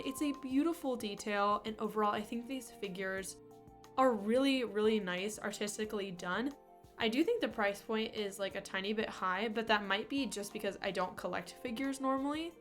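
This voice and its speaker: A young woman in her twenties with a congested voice from a cold, chatting fondly and upbeat as she reviews toys for her vlog. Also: mid-range voice. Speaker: toy collector vlogger. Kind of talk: casual toy review vlog.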